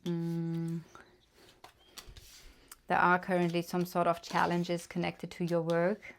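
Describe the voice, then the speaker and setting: Woman, German accent, tarot reader, flat delivery, gentle pace with fillers. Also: fairly low pitch, a little hiss or hum. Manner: gentle, unhurried, many fillers. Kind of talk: casual tarot reading.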